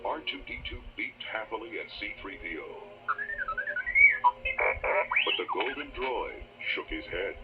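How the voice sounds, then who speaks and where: Male narrator, children's read-along cassette: low register, very expressive and dramatic storytelling over a musical score. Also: unhurried, pauses often, lo-fi sound. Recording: old cassette, music behind.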